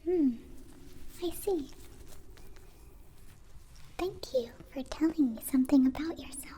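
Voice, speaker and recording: A woman voicing a gentle, curious, naive alien in a soft whispered ASMR roleplay, with halting, formal, literal phrasing. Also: sits high. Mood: curious, earnest. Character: gentle, curious, naive captive-born alien, newly befriended.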